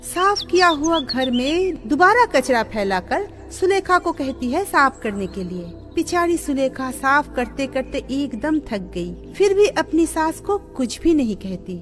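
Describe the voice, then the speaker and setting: Woman narrator, high voice, lively melodic delivery, scripted storytelling, faint music behind. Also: unhurried, hardly any pauses. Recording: music under the voice.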